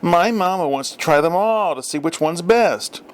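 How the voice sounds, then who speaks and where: Casting: man playing boastful, eager young storybook boy, raised pitch, exclamatory delivery. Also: animated, moderate pace. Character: excitable, scheming young boys of the neighborhood.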